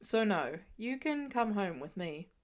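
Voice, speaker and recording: A woman's voice: medium pitch, very animated, measured pace. A woman voicing a confident, wisecracking grown man who talks in a dry, teasing way. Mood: wry. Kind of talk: audiobook fan reading.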